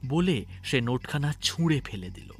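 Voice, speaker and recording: A man narrating a scripted audio story in an expressive storytelling manner. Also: mid-range voice, moderate pace, breathy edge. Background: music.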